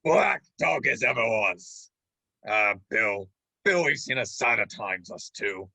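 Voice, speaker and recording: A man playing a menacing pirate who feigns friendliness, with a raspy voice and wheedling sailor dialect in a radio play. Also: lowish voice, big pitch swings, slow pace, bright, forceful tone, dry recording, heavily acted. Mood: sly, wheedling. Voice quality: raspy, gravelly. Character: menacing pirate feigning friendly warmth, sly old shipmate.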